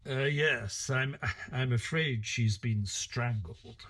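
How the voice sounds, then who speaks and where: An actor playing an earnest, uneasy gentleman companion who narrates an audio play dramatically, with a husky voice. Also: mid-range voice, slow pace, dry recording, clean studio sound. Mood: apologetic.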